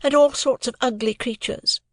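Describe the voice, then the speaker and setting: A woman's voice: fairly high pitch, moderate pace, no room sound, pitched above the performer's own voice, close to an everyday voice. A woman voicing a proud, elegant young society beauty in an audiobook, with cool, careless disdain. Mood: haughty, teasing. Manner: detached, careless, teasing disdain.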